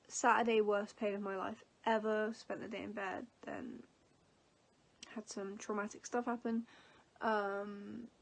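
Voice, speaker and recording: Young English woman, twenties, stuffy-nosed, chatty vlogger with filler-heavy, meandering delivery. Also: medium pitch. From England. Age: 20s. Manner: rambling, many fillers and restarts. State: sniffly from allergies. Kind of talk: casual storytelling vlog.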